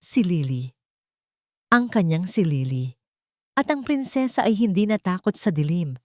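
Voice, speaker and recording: Woman, audiobook narrator, lowish voice, lively storytelling, dry close sound. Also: no echo. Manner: expressive storytelling.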